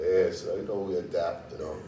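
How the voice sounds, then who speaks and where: Man from liberia, 30s, guest, deep voice, laughs a lot, echoey room, far from mic. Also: steady tone, a little fast, warm tone. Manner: laughs often, lots of "you know what I'm saying". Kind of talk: casual interview storytelling. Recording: roomy, distant mic, faint noise.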